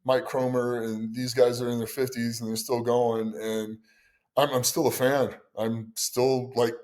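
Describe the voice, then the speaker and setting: A middle-aged man hosts a casual podcast chat, his voice sitting at a medium pitch. He tells stories with excitement, stumbling over restarts and repeated words as his enthusiasm runs ahead of him. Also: unhurried. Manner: excitable, stammering restarts, animated storytelling. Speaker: podcast host.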